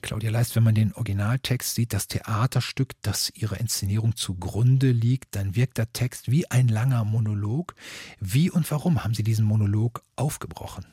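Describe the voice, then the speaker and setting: A man moderating, putting prepared interview questions in a measured, formal way. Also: on the low side, warm tone, breathy edge, dry recording, studio quality.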